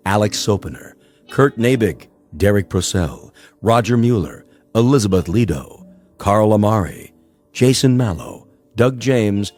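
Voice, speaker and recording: Guy announcer, lowish voice, formal measured credits read, faint music under. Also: a little mellow.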